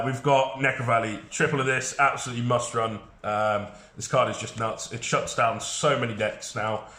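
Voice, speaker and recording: A man's voice: mid-range voice, bright, forceful tone, roomy sound. A man with a head cold, sounding congested, chatting casually through a card game deck with frequent fillers.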